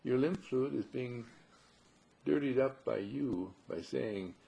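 A man speaking as a spiritual teacher in a husky, gravelly voice, posing rhetorical questions and repeating phrases for emphasis. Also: medium pitch, takes it slowly, warm tone, rough recording. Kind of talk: spiritual talk with parables.

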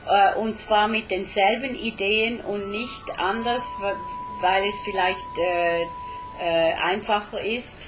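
A woman interprets line by line, slow and careful. She stumbles and hesitates as she searches for the right words. Faint music sits under her voice. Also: mid-range voice, fairly even intonation, poor audio. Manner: halting, with frequent hesitations. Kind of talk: live interpreting between English and German.

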